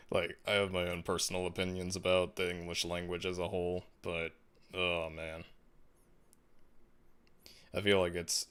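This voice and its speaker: A man hosting a casual livestream, chatting in a laid-back, musing way with frequent restarts and soft laughs. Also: deep voice, smooth. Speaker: livestream host. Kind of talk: casual livestream chat, reading viewer comments.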